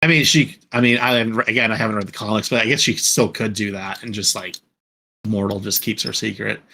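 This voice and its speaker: A man in a casual podcast chat, talking in an animated way and thinking aloud with questions. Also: lowish voice, fast talker, gravelly. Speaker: podcast co-host.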